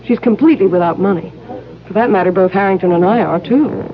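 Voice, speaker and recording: A woman's voice: medium pitch, quick pace, a crowd in the background, lightly acted. An actress playing a refined, poised young society woman who confides her worries in a 1970s radio drama. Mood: worried, confiding. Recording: muffled 1970s radio recording.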